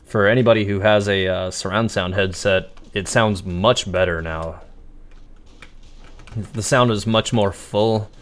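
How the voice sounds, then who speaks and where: A man livestreaming a video game with casual, rambling, halting commentary. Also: lowish voice, steady tone, a bit bright. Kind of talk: casual live gameplay commentary.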